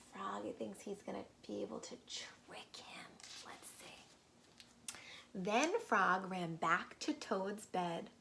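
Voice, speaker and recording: A woman reading a storybook aloud to young children, expressive and pausing to ask the listeners questions. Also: mid-range voice, creaky, a little hiss or hum.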